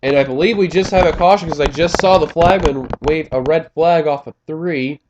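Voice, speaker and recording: A man's voice: a little high, normal speed, lo-fi sound. A man with a slightly scratchy voice doing casual play-by-play commentary of a race, rambling with fillers and correcting himself as he goes.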